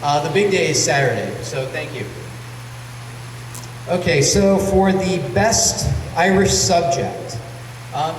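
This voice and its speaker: A man presenting awards at a model contest, speaking haltingly with many fillers over a PA in a museum hall. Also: on the high side. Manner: halting, many ums and uhs. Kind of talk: public announcement over a PA. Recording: echoey hall from far off with noise.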